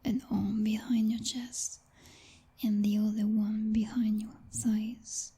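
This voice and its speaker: Breathy, whispering possessive vampire girlfriend played by a woman. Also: mid-range voice, level tone, mellow. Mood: coddling, smug. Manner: slow, purring, teasing, condescending pet names. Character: possessive female vampire girlfriend, teasing, dominant, coddling. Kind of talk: intimate ASMR roleplay.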